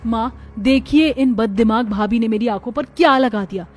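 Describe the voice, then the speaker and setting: A woman's voice: fairly high pitch, normal speed, darkish tone, natural voice. A woman voicing a spoiled, haughty young sister-in-law in a cartoon story, whining and indignant. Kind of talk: cartoon moral story acting.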